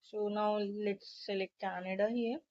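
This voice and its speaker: A woman narrating a step-by-step software tutorial in an instructional, explanatory way. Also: mid-range voice, fairly even intonation, a little slow. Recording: dull, lo-fi microphone sound.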